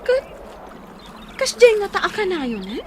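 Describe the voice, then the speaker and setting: Woman acting a very high, fearful village woman. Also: wildly swooping pitch, measured pace, a little hiss or hum, heavily acted. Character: fearful young village woman, anxious confidante.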